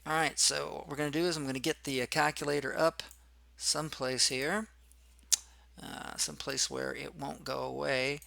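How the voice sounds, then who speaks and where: A hoarse man teaching as a machining instructor in a casual, rambling screen-recorded tutorial full of fillers. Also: a little high, flat delivery, normal speed, bright, forceful tone, no echo.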